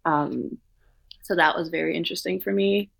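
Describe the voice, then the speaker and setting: Gravelly low-voiced woman. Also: normal speed, dry recording. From Denmark. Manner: relaxed, many "like" fillers. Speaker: co-founder of a sustainability consultancy. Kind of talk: casual interview answers.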